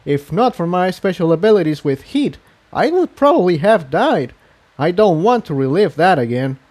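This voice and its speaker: A man's voice: high-pitched, big pitch swings, moderate pace, a little mellow, pitched above the performer's own voice. A man voicing a wary, anxious female pony with a worried, questioning manner in a fantasy story reading. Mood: nervous, doubtful.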